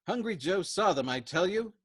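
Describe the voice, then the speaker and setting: An overwrought male airman from a storybook, performed by a man reading aloud. He is loud, frantic and pugnacious, his rough voice pushed high and jumping around in pitch. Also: expressive, normal speed, heavily acted. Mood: urgent. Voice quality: rough, quavering. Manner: loud, frantic, fails at whispering.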